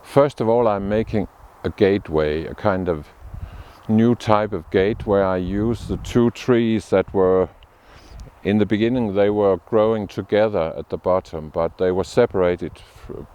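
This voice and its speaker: A man from Denmark, a sculptor, speaking in a documentary interview with thoughtful, unhurried restarts. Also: on the low side, mellow, clean tone. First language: Danish.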